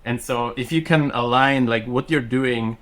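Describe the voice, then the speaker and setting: A man's voice: medium pitch, a little slow, fairly bright tone. A man in his thirties from Austria, a native German speaker, chatting as a podcast guest in a rambling way with lots of fillers and restarts. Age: 30s. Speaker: podcast guest, software engineer. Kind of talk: casual chat.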